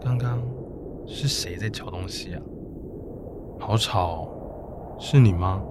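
A man voicing a cold, withdrawn high school boy who answers curtly in few words. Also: takes it slowly, heavy background noise. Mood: lost. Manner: curt, flat, few words.

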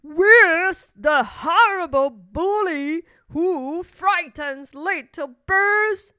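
A woman voicing an enormous menacing bird that calls out loudly and challengingly in a children's story. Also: very high-pitched, very slow, no room sound, studio quality, pitched above the performer's own voice, exaggerated voice.

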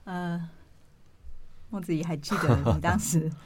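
A woman's voice: fairly low pitch, animated, a little slow, background noise. A woman with a Taiwanese Mandarin accent hosting an interview in a conversational way. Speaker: interview host.

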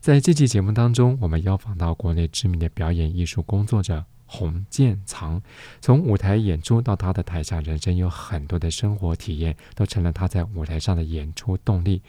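Male radio host, Taiwanese accent, deep and mellow, measured presenting, dry close recording. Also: no room sound. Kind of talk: interview hosting, measured presenting.

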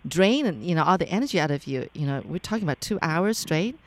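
A woman hosting an interview, chatty and quick to affirm her guest. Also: deep voice, lively intonation. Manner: chatty, lots of affirming backchannels. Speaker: interview host. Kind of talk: casual interview chat.

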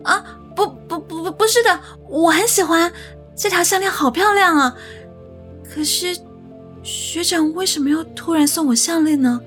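A woman's voice: very high-pitched, fairly bright tone, soft background music. An actress playing a flustered, sweet young woman with a Taiwanese accent in a romantic radio drama, hesitant and stammering. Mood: flustered, shy. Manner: hesitant, stammering, breathy pauses.

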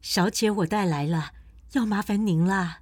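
A woman's voice: mid-range voice, normal speed, dry recording, studio quality, lightly acted. A woman playing a polite, hesitant household companion in an audio drama, speaking in a deferential, tentative way.

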